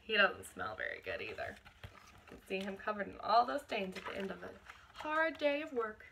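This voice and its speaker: A woman reading a picture book aloud to children in an expressive teacherly way, stopping to explain things. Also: mid-range voice, moderate pace, vocal fry, a little hiss or hum. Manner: expressive, explains words and asks questions to young listeners.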